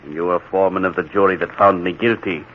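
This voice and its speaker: An actor playing a vengeful undead magician in old-time radio horror, speaking in a menacing, theatrical, deliberate way. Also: male voice, sits low, fairly even intonation, close to an everyday voice. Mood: sinister menace. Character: vengeful undead executed magician back from the grave. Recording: muffled, hissy old radio recording.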